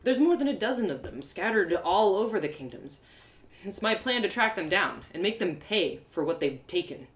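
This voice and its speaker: A woman reading a first-person fantasy story live, in an expressive, confiding, dramatized narration. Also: mid-range voice, normal speed. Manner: expressive, confiding, acted-out delivery. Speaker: storyteller and audio drama performer.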